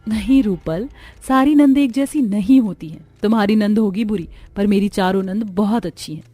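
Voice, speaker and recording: A woman voicing a gentle, loyal young bride in a cartoon story, earnest and dutiful. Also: medium pitch, normal speed, no echo, lightly acted. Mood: firm. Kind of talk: Hindi cartoon story dialogue.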